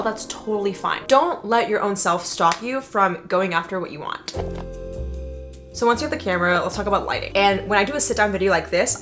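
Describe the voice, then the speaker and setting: A woman's voice: medium pitch, a bit bright. A young woman giving a casual vlog tutorial to camera, chatty, slangy and emphatic. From Indonesia. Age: young adult. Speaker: vlogger and content creator.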